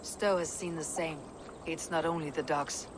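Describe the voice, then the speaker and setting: Low-voiced, stern woman Viking warrior played by an actress. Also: fairly even intonation, moderate pace, background noise, natural voice. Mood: measured, probing. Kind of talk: video game cutscene acting.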